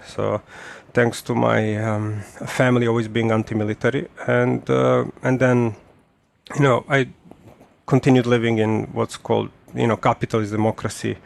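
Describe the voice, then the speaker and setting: Guest voice: man in his 40s, gravelly, even delivery, hesitant speech full of fillers. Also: on the low side. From Serbia. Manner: hesitant, many fillers and restarts. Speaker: podcast guest. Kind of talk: casual conversational interview.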